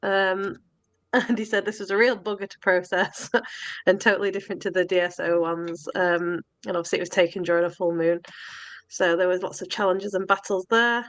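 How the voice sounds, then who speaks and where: A woman's voice: lowish voice, steady tone, normal speed, no room sound. A woman co-hosts a casual live chat, her voice nasal and thick with a cold. She laughs often and talks in a loose, friendly way between remarks. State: stuffy with a cold. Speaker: co-host.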